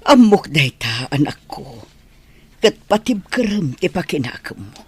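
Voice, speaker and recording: A woman playing a tender, grave older mother in a radio drama, husky and breathy. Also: low voice, big pitch swings, measured pace, a little mellow, exaggerated voice. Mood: tender, consoling.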